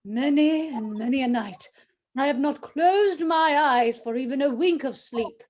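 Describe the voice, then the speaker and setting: A woman's voice: high-pitched, unhurried, darkish tone. A woman playing a superstitious, deferential housekeeper of a haunted manor in a radio play, with an ominous, formal way of speaking. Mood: foreboding. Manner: ominous, dramatic, formal servant address.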